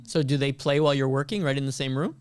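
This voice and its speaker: A man's voice: medium pitch, quick pace, a little mellow, clear, resonant tone. A man with an American accent hosting a casual remote interview, asking questions in a conversational way. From United States. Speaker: interview show host.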